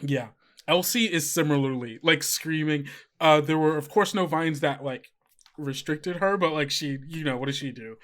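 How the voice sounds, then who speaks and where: A man's voice: a little high, moderate pace, a bit bright. A man running a tabletop game as game master, narrating loosely with many fillers and restarts.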